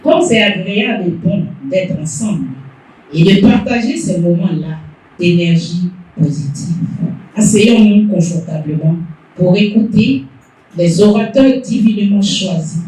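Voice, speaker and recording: A woman giving a gentle welcome address to a meeting. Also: mid-range voice, slight background noise. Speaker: welcome speaker. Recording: echoey hall from far off.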